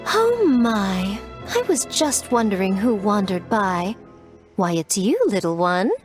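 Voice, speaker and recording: A woman's voice: mid-range voice, big pitch swings, slow pace, soft background music. A woman playing a teasing, self-important shrine head priestess in a video game, with a playful, sweetly condescending manner. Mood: teasing, sly.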